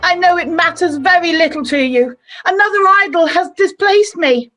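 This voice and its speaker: A woman playing a heartbroken young fiancée, earnest and gently reproachful, in an amateur radio play. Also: very high voice, normal speed, soft background music, poor audio. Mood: sorrowful reproach. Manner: earnest, sorrowful.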